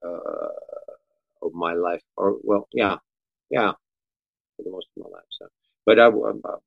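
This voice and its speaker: A man raised in Austria talks as a podcast guest with a slightly low voice. He rambles and jokes, restarting his sentences as he goes. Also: unhurried, gravelly, phone-quality sound. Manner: chatty, joking, restarts often. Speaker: guest musician. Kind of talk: casual podcast chat.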